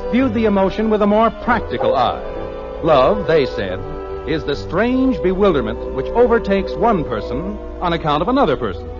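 Animated vintage American radio announcer, male. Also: a little high. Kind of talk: 1950s radio announcing and wry scripted narration. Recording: muffled old radio broadcast with music.

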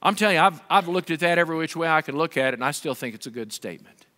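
A man, a pastor preaching an animated sermon on a large stage into a headset mic. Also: rapid-fire. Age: 50s. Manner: animated, gesturing preacher with wide swings in pitch and volume. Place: large dark stage with a pulpit. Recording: long hall reverb.

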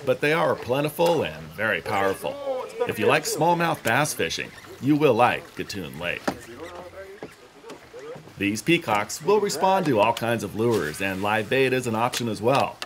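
A middle-aged man hosting a fishing show, reading a smooth, upbeat scripted voice-over. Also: lowish voice, sing-song, a little slow, crowd noise. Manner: smooth, upbeat presenter delivery. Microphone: studio voice-over. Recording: music bed under the voice.

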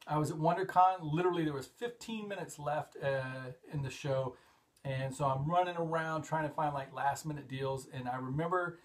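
A man's voice: a little high, moderate pace. Chatty middle-aged man showing off collectibles. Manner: rambling and enthusiastic, frequent "uh" fillers. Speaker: comic collector and show host. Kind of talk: casual show-and-tell vlog. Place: indoor hobby room full of collectibles.

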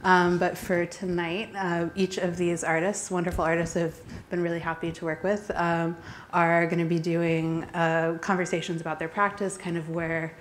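A woman curator opening a panel talk in a casual, halting way with many fillers. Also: on the low side, flat delivery, a little hiss or hum. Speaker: curator and moderator. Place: gallery talk space, indoors. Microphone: handheld mic.

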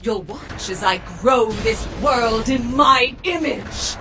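A woman's voice: a little high, takes it slowly, background noise. A woman voicing a haughty, domineering dominatrix villain in a video game, imperious, taunting and theatrical, with music over her. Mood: seductive, commanding. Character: domineering female dominatrix villain, a haughty rogue simulation program.

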